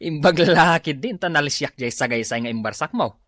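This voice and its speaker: A woman's voice: deep voice, big pitch swings, normal speed, bright, forceful tone, exaggerated voice. A woman with a Filipino accent playing a teasing, scolding woman in a comedic radio drama.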